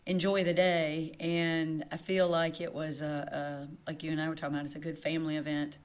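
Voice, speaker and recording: A woman, a chatty, loud-voiced guest on a casual morning TV show. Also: deep voice, little pitch movement, a little fast.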